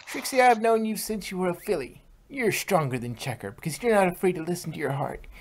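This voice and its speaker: A woman's voice: on the low side, expressive, a little fast. Woman voicing a folksy old grandmother mare. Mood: encouraging. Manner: folksy, encouraging. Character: folksy older mare, warm and encouraging grandmother.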